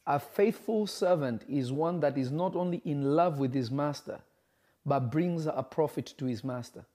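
Man, preacher, livestream sermon, emphatic, repeats phrases, warm mellow voice. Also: fairly high pitch, normal speed. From Kenya. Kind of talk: livestream sermon reading viewer comments.

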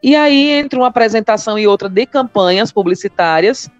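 A woman's voice: fairly high pitch, lively intonation, brisk, no echo, muffled recording, faint music behind. A woman in her thirties from Maceió with a northeastern Brazilian accent, a comedian telling her story in a casual interview and repeating words for emphasis. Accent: Northeastern Brazilian (Alagoas). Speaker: comedian guest.

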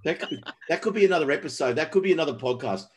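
Podcast host, male, playful and excitable, jokes constantly. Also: on the high side, racing, background noise. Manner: jokey, excitable, laughs a lot. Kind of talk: casual interview.

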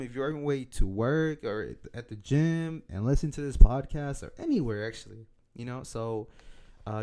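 A man with a raspy, allergy-worn voice talks through his solo podcast episode, the tone very dark and mellow. He rambles casually, circling back on himself with lots of fillers and restarts. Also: mid-range voice. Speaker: podcast host.

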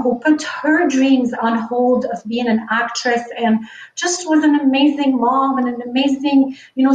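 A woman from Lebanon in her thirties or forties, a business coach telling her story in an earnest, reflective way. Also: high voice. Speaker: guest, online business coach. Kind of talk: conversational podcast interview. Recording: echoey, distant, dull sound.

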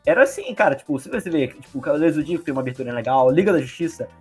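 A man's voice: fairly high pitch, rapid-fire, husky, faint music behind. A man with a Brazilian Portuguese accent, co-hosting a casual chat and talking animatedly. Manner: animated, hums and imitates theme songs. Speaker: podcast co-host. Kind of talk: casual chat about cartoons.

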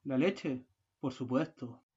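A man in a student radio play acting a hurried, irritable husband, with a curt, grumbling delivery. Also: mid-range voice, warm tone, husky, highs cut off. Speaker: radio drama actor.

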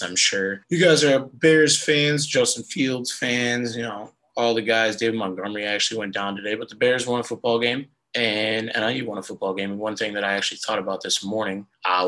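A young man hosting a casual sports podcast, hoarse from losing his voice, rambling with lots of fillers. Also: on the low side, pushed and bright, poor audio. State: hoarse, lost voice. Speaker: podcast host.

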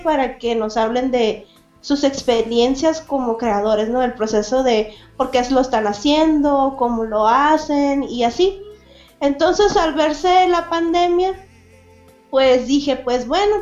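A woman's voice: high voice, a little mellow, roomy sound, highs cut off. A woman from Mexicali, a cartoonist chatting as an interview guest, full of fillers and restarts. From Mexicali, Baja California, Mexico. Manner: chatty, many fillers and restarts, laughs often. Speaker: guest, cartoonist and event organizer. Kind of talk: casual interview chat.

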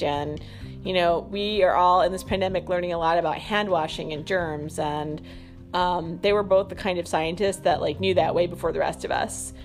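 A woman hosting a podcast in a casual stream-of-consciousness monologue, rambling and self-deprecating. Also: on the low side. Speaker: podcast host.

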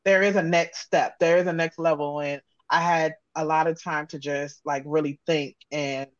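A woman's voice: sits low, fairly even intonation, moderate pace, no room sound, highs cut off. A woman giving casual, candid and reflective interview answers, often voicing her own self-talk. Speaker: interview guest, audio drama creator.

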